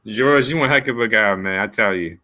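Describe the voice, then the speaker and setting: A man's voice: fairly low pitch, brisk, pushed and bright. A man hosting a podcast in casual interview chat, speaking in an informal, folksy and appreciative way. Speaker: podcast host.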